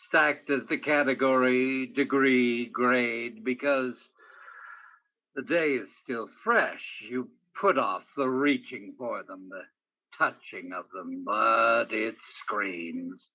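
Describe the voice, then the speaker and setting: A world-weary male police detective played by a man narrates a hardboiled radio drama. He speaks slowly and deliberately, leaning on dramatic pauses. The sound is dull and lo-fi. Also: medium pitch, close to an everyday voice. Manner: deliberate, dramatic, poetic second-person delivery with heavy pauses. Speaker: narrator and lead actor in a radio play.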